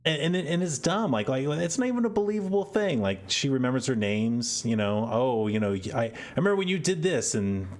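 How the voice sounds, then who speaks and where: A man's voice: mid-range voice, sing-song, fairly quick, hardly any pauses. A man hosting a podcast, giving casual, exasperated commentary and often repeating words as he thinks aloud. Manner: opinionated, exasperated. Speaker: podcast host.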